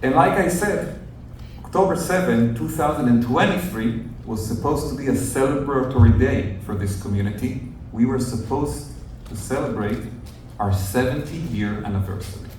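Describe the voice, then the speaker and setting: A young adult man, a journalist telling a group his story in an earnest, measured, explaining way. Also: medium pitch, a little mellow. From northern Israel. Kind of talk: storytelling talk to visitors. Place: outdoors at a kibbutz near the Gaza border. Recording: very echoey, distant outdoor recording with faint noise.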